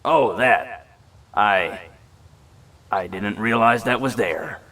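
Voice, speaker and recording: A man's voice: lowish voice, takes it slowly, pushed and bright, distant mic. A man playing a dry, wry, self-possessed robot adventurer with no memory in an audio drama, clipped in delivery. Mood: puzzled, dry.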